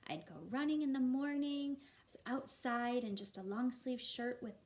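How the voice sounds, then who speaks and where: A woman's voice: high-pitched, normal speed, a little mellow. A woman, a primary school teacher, chatting to young pupils in an encouraging way. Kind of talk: read-aloud and chat to young pupils. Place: at home.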